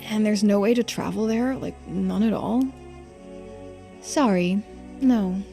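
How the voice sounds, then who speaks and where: A woman's voice: mid-range voice, takes it slowly, creaky, mellow, soft background music. A woman voicing character dialogue in a visual novel playthrough, with conversational, acted line readings. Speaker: streamer reading game dialogue aloud.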